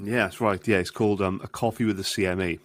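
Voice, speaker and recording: A man's voice: lowish voice, a little fast, no room sound. A man with a Swansea Welsh accent chatting as a podcast guest, with lots of restarts and quoted voices. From Wales. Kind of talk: casual interview chat.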